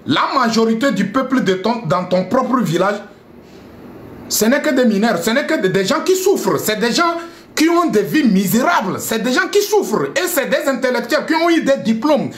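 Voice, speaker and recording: A man's voice: very high voice, big pitch swings, moderate pace, reverberant. A man ranting scornfully on a live stream, repeating himself and mixing French with a local language. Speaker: live streamer addressing viewers. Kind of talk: heated live-stream rant.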